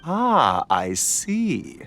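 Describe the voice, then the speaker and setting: A gentle young doctor speaks politely, his voice lilting up and down. He takes his time, and game music plays beneath. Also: fairly high pitch, wildly swooping pitch, extremely slow, heavily acted. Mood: courteous. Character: gentle young male doctor, courteous, soft-spoken.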